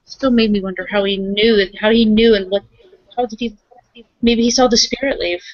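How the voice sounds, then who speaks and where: A woman's voice: mid-range voice, steady tone, moderate pace, no echo, muffled recording. A woman improvising casually as a player in a role-playing game, tentative and thinking aloud. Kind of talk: casual improvised in-character chat.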